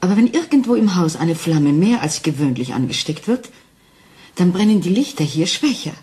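An actress playing a frightened wife who doubts her sanity, speaking anxiously and haltingly in a German crime radio play. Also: fairly low pitch, lively intonation, dark tone, lightly acted. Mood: fearful, urgent. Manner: anxious, halting, intense, dropping into whispers.

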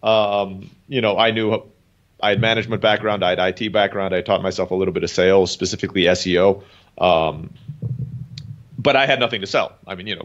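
A man's voice: deep voice, fairly bright tone. A man in his thirties who grew up in Turkey, a guest telling his story in a chatty way, with lots of "um" and "you know". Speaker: guest entrepreneur. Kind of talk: casual interview talk. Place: home in Mexico. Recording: dull lo-fi call audio.

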